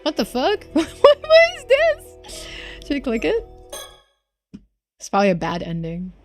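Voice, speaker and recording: A woman's voice: sits high, wildly swooping pitch, measured pace, soft background music. A woman live streamer chatting casually as she plays through a game. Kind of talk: casual gaming commentary and chat.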